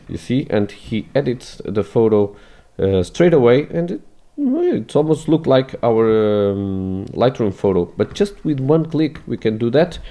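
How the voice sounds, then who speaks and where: A man whose first language is Portuguese walks through a photo edit in a screen-recorded tutorial, halting and restarting his phrases as he goes. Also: mid-range voice, mellow. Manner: hesitant, many restarts, non-native phrasing.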